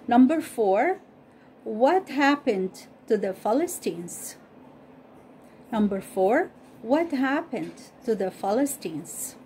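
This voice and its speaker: A woman whose first language is Arabic, slowly and carefully reading a Bible story aloud and repeating study questions as host. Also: fairly high pitch, dark tone, a little hiss or hum.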